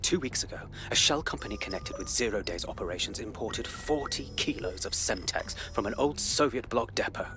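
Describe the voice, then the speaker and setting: A man playing a grave, guarded intelligence analyst in a video game, speaking in formal, measured phrases. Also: on the low side, animated, bright, forceful tone, faint music behind. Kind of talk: cinematic video game acting.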